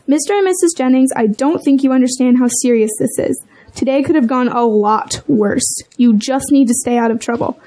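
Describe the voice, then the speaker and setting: An actress playing a stern, unsympathetic defense lawyer in an audio drama, speaking firmly and in an admonishing way. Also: female voice, sits high, moderate pace, dark tone, lightly acted. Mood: stern warning. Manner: firm, admonishing.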